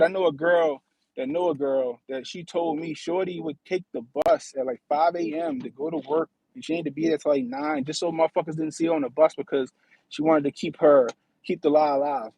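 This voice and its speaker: Gravelly, husky man in his thirties. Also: fairly high pitch, a little mellow, no echo, rough recording. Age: 30s. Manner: profane, slangy, repeats "you know what I mean". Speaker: livestream host. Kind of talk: casual livestream chat.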